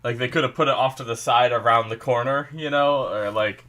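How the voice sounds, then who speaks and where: A man's voice: medium pitch, a little fast, bright, forceful tone. A man with a stuffy nose hosting a casual reaction video, rambling and thinking aloud with lots of "like" and "you know".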